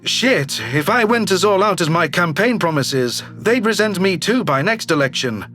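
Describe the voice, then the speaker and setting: A man's voice: high-pitched, expressive, normal speed, bright, forceful tone, faint music behind, voice pushed down. A man voicing a brash, slick alien governor who talks with glib self-assurance, in a sci-fi audio drama. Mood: smug, pragmatic. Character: brash, slick alien politician, newly elected male governor. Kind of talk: audio drama character reading.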